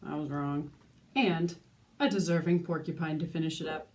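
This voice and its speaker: A woman's voice: low voice, moderate pace. A woman teacher reading a picture book aloud expressively, speaking as if to young listeners. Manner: animated, with asides and questions to young listeners. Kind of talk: expressive children's storybook read-aloud.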